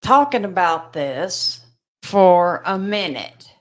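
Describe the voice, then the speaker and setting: A woman's voice: lowish voice, big pitch swings, slow. A woman co-hosting a show in a casual, conversational style. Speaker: podcast co-host. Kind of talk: casual chat.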